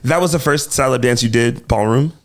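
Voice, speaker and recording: A man hosting a podcast, interviewing a guest in quick, upbeat, slangy casual chat. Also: medium pitch. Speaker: podcast host.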